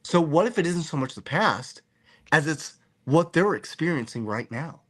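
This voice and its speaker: A man hosting a podcast in casual chat, animated and joking as he rambles through his musings. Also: fairly high pitch, normal speed. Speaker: podcast host.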